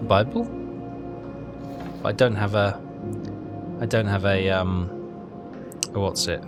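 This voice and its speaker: A man's voice: lowish voice, slow. A man giving casual game commentary and reading text aloud, hesitant and repeating himself as he thinks aloud. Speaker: let's player. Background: game music.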